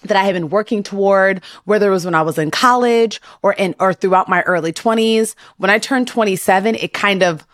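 Woman, thirties, medium pitch, very dry recording, interview host, affirming, reflective, casual. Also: fairly quick, hardly any pauses, a bit bright, no echo. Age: 30s. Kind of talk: casual supportive interview chat.

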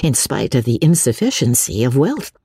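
A sensible, composed young woman from a classic novel, voiced by a woman reader. She reasons with gentle irony in a low voice with lively intonation, recorded close and dry. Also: moderate pace, slightly rough, no room sound, full character voice. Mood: gently practical. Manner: reasoned, wry.

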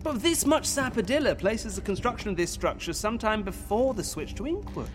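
A man playing a pompous, excitable professor and explorer in an audio drama. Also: high voice, a little fast, over music. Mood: excited, scholarly. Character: pompous, zealous male professor-explorer of lost civilizations.

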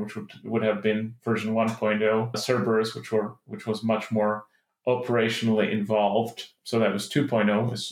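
A middle-aged Czech-born man, a private equity partner, giving measured, explanatory interview answers with some restarts. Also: lowish voice, reverberant. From Czech Republic.

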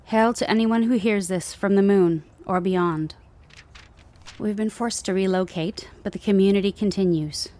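A woman playing an earnest, measured community chaplain sending a radio message from a crumbling world in an audio drama. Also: mid-range voice, mellow, lightly acted. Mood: grave, steady.

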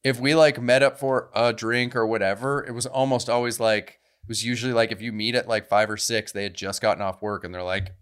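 A man's voice: mid-range voice, fairly even intonation, fairly quick, hardly any pauses, a bit bright, clean tone. A man co-hosting a podcast in casual banter, wry and mock-incredulous, with some casual swearing. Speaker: podcast co-host.

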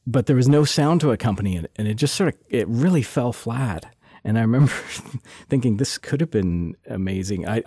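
A man talking as a sound designer guest in a casual interview, relaxed and laughing often, restarting his sentences. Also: lowish voice, no room sound, clean studio sound. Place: lives in Toronto.

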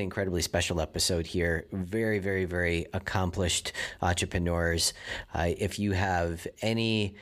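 Very low-pitched male podcast host. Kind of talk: conversational interview.

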